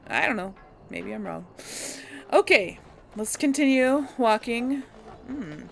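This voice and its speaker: A woman's voice: on the high side, animated, takes it slowly, creaky, a bit bright. A woman hosting a casual let's play, chatting and musing aloud as she plays. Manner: chatty, musing, self-doubting. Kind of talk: casual gameplay commentary.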